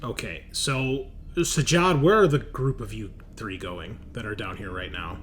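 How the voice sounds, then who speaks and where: A man's voice: fairly low pitch, a little slow, fairly bright tone, a little hiss or hum. A man running a tabletop roleplaying game as game master, narrating and prompting the players conversationally.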